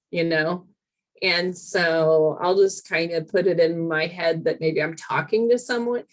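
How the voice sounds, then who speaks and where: A woman guest on a podcast, a teacher and comedian, talking casually and thoughtfully with many fillers and restarts. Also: fairly low pitch. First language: English. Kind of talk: casual interview chat.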